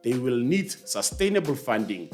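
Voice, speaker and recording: A man with a South African accent hosting a scripted explainer, measured and stressing key phrases. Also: medium pitch, big pitch swings, soft background music. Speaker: host of a series on radio.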